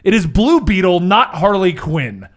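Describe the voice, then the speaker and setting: A man's voice: high-pitched, big pitch swings, unhurried. A man running a tabletop game as game master, mixing dramatic narration with jokey, theatrical banter.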